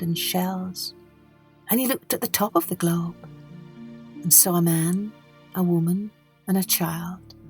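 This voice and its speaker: Woman, story podcast host, mid-range voice, expressive narration, careful phrasing, soft background music. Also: mid-range voice. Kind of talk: scripted children's story narration.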